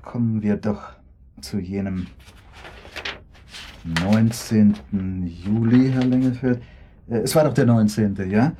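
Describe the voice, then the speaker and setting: Polite careful lawyer in a radio play, lowish soft dark voice, keeps probing. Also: male voice, steady tone, slow, pauses often, slight background noise. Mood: hesitant probing. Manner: hesitant, circumspect, courteous. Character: polite, probing, persistent male lawyer questioning a witness. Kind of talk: German radio drama acting.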